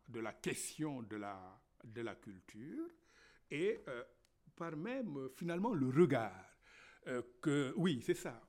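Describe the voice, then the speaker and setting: Lively, halting man speaking. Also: medium pitch. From Senegal. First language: Wolof. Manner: halting, many fillers and repeated words. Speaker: novelist and university lecturer. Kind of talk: reflective panel talk.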